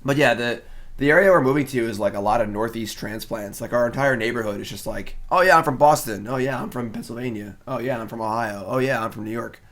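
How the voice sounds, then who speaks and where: A man's voice: mid-range voice, quick pace, fairly bright tone. A young man streaming a card game and chatting casually, laid-back and wry, with lots of fillers. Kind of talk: casual live-stream commentary.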